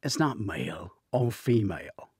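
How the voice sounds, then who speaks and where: A man's voice: fairly low pitch, sing-song, unhurried, darkish tone, husky, no room sound, studio quality, heavily acted. A man voicing a stubborn, earnest tech colleague in an audiobook, defensive and matter-of-fact.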